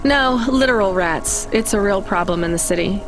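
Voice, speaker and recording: An actress playing a practical, inquisitive young courier in a video game, talking in a wry, matter-of-fact way. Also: female voice, mid-range voice, moderate pace, over music, natural voice.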